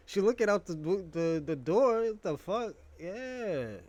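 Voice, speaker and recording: A man's voice: high-pitched, a little mellow, no room sound. A young man hosting a casual monologue, rambling and joking in a crude, profane way. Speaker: podcast host.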